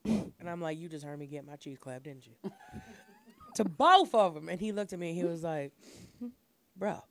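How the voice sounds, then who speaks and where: A woman hosting a podcast, voice raspy from a sinus infection, telling crude stories in casual slang and laughing often. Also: lowish voice, expressive, moderate pace. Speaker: podcast host. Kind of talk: casual chat.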